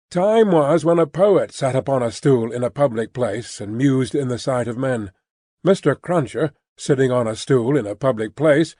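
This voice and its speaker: A man reading an audiobook as scripted literary narration, in a measured, formal storytelling manner. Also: mid-range voice, mellow, dry recording, studio quality.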